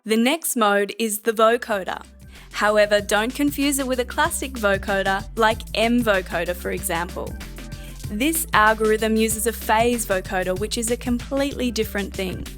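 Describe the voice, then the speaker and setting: A woman with a somewhat high-pitched voice delivers scripted tutorial narration for a piece of software. She explains things in a measured way. Faint music sits behind her voice.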